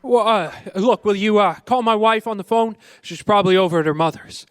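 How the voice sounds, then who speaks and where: An earnest young newlywed in an old-time radio drama. His voice rides very high for a man, and he halts and stammers as he makes his case, flustered and sincere. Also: a bit bright, clear, resonant tone, dry recording. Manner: halting, stammering, pleading. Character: earnest, flustered young newlywed man running a small-town loan business.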